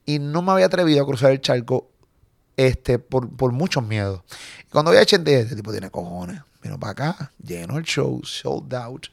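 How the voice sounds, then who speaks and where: Animated Puerto Rican man in his forties. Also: mid-range voice, gravelly, no echo, clean studio sound. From Puerto Rico. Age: 40s. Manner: animated, swearing, quick storytelling. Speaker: comedian guest. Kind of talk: casual interview chat.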